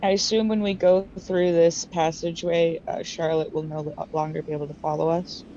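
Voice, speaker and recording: A woman's voice: on the low side, level tone, moderate pace, dark tone. Woman, game player, casual roleplay chat, lo-fi sound, faint background noise.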